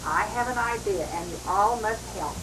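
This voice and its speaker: A woman voicing a cheerful, wise chipmunk aunt from a storybook, with brisk, emphatic encouragement, on a noisy old home recording. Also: medium pitch, distant mic, lightly acted. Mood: encouraging.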